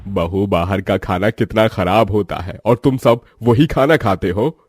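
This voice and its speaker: A man's voice: lowish voice, measured pace, breathy edge, dry recording, studio quality. A man voicing an elderly village father-in-law, a concerned and grave family elder in a story. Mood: disapproving.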